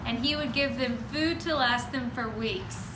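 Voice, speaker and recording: A woman's voice: fairly high pitch, a little slow. A woman narrating a scripted story for an amateur readers' theater. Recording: noisy echoey room.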